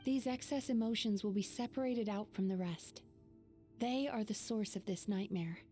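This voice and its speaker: A woman playing a calm, wise young dream healer in a video game, explaining things in a measured, composed way. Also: medium pitch, soft background music, close to an everyday voice. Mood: explanatory.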